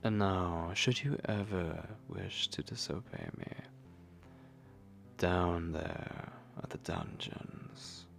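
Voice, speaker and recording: A man playing a possessive, hungry vampire lord in an intimate ASMR roleplay, breathy and commanding, speaking slowly with menace. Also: low voice, full character voice. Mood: menacing, commanding. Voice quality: breathy, whispery, gravelly. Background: music.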